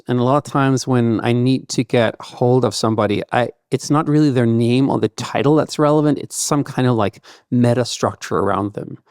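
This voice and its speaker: A man's voice: mid-range voice, creaky, a little mellow, dry recording, studio quality. A man whose first language is Danish, speaking loosely and thoughtfully as a guest in a podcast interview, with lots of "kind of" and "like". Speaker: guest entrepreneur.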